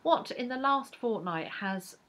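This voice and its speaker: A woman's voice: a little high, animated, measured pace, a bit bright, slight background noise. A young English woman chatting to camera as a book vlogger, rambling with fillers and restarts. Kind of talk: casual chat to camera.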